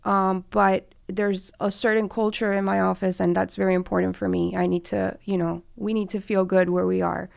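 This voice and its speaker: Woman in her 40s from cuba chatting in an interview, clear smooth voice with some vocal fry. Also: medium pitch, level tone, normal speed, few pauses, a little mellow, no echo, studio quality. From born in Cuba, came to the US as a child. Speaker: guest, immigration lawyer and law firm partner. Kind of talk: conversational interview answers, personal storytelling.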